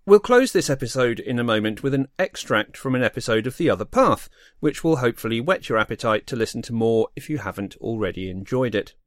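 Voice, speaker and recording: A man's voice: medium pitch, animated, brisk, hardly any pauses, no room sound, clean studio sound. A man presenting a scripted podcast outro as host.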